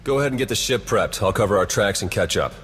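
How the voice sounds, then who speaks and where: A man's voice: lowish voice, animated, quick pace, a little hiss or hum. A man playing a wry, pragmatic spy agent in a video game, casual and matter-of-fact. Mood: brisk, businesslike. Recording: loud game music underneath. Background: music.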